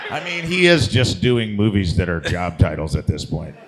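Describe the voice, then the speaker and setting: A man's voice: sits low, sing-song, moderate pace. A man, a guest comedian, joking and swearing in casual banter on a live podcast. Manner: joking, profane.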